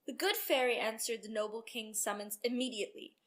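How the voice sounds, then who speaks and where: A woman storyteller reading a children's storybook aloud with expressive narration. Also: a little high, brisk.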